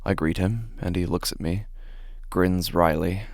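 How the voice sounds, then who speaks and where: Male actor as uneasy office worker, low gravelly voice, horror podcast narration, halting and deliberate, close dry recording. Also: steady tone, darkish tone, dry recording. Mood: measured, uneasy. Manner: halting, deliberate, stammers when agitated. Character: anxious, meticulous male office worker unsettled by his typos. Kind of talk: first-person dramatic horror narration.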